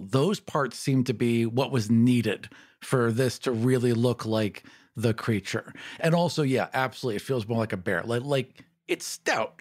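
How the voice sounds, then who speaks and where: A man speaking as a podcast co-host, sharing commentary in casual chat. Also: mid-range voice.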